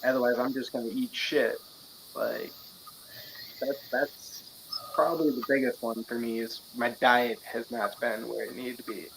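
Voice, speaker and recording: Laid-back fighter, casual chat. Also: male voice, mid-range voice, flat delivery, moderate pace, vocal fry, noisy background. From Wisconsin. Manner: laid-back, plainspoken, frequent fillers. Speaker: interviewee, mixed martial artist.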